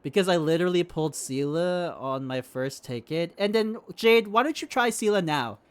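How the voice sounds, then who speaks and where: Casual male game streamer, young adult, slightly high pitch, rambling, unfiltered, conversational delivery. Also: animated, normal speed, smooth. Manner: rambling, sweary. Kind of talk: casual chat while playing.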